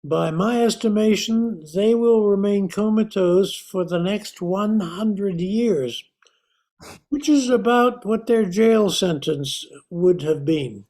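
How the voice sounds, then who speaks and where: A man playing a calm, cunning doctor in an old-time radio thriller, measured and composed. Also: high voice. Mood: satisfied. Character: calm, cunning doctor uncle, a scientist outwitting gangsters.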